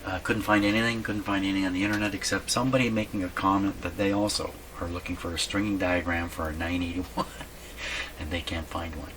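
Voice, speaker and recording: A man giving unscripted, halting commentary as he thinks aloud while doing hands-on repair work. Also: low voice, fairly even intonation. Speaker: radio repair hobbyist narrating a workshop video. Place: indoor radio repair workshop. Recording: clearly noisy workshop recording.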